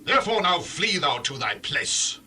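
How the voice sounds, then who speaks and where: Man as sharp, indignant, swooping angry king. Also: a little high, very animated, moderate pace, a little hiss or hum, heavily acted. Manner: forceful, accusing declamation. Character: angry, indignant male king rebuking a prophet. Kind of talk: dramatized Bible reading.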